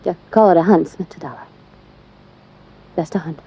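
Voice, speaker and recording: A woman's voice: on the low side, normal speed, dark tone, husky. A guarded, hesitant young woman. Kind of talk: 1950s radio drama acting. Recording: dull lo-fi old radio recording.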